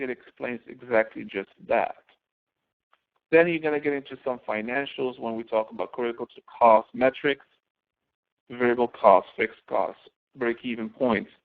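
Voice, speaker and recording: A man's voice: medium pitch, creaky. A man instructor giving an informal, explanatory lecture, emphatically repeating key phrases for stress. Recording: thin phone-like narrowband audio.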